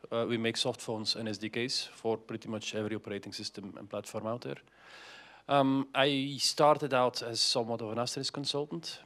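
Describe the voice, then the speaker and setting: A man's voice: mid-range voice, fairly bright tone. A man, introducing himself on a panel in a matter-of-fact, wry way. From Belgium. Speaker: panelist, company founder.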